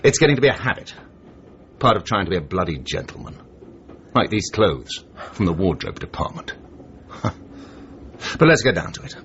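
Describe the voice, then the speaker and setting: An actor playing a smug Soviet assassin who poses as a chummy English gentleman, taunting in clipped, mock-genteel slang in a radio drama. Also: lowish voice, very animated, moderate pace, long pauses. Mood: smugly taunting. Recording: noisy, muffled radio broadcast recording.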